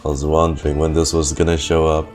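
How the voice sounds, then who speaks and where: A man with a low voice gives casual gameplay commentary as a streamer. He is playful and profane, with faint game music behind him. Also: flat delivery, fast talker, a little mellow. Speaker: gaming streamer. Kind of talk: casual gameplay commentary and reading dialogue aloud.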